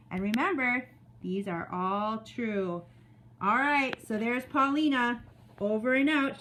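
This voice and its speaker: A woman teacher reading a story aloud to young children in an expressive way, with casual spoken asides. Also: a little high, slight background noise. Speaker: teacher reading story time to second graders.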